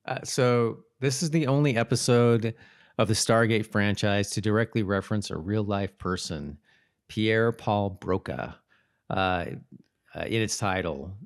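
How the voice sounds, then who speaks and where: Male co-host, slightly deep voice, casual and halting conversational delivery. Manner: informal, halting. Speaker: podcast co-host. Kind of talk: casual co-hosted chat.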